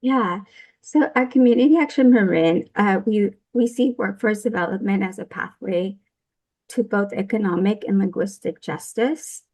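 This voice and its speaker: A woman's voice: mid-range voice, moderate pace, a little mellow, slightly rough, low-quality recording. A woman panelist, speaking earnestly about her organization's workforce mission. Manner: earnest, advocating. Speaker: employer panelist.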